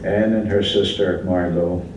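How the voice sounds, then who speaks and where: A man's voice: sits low, steady tone, a little slow. A man acting on stage as a survivor, reciting his lines plainly and steadily. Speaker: stage actor playing a survivor. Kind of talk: staged theatrical narration. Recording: echoey hall from far off, with hiss.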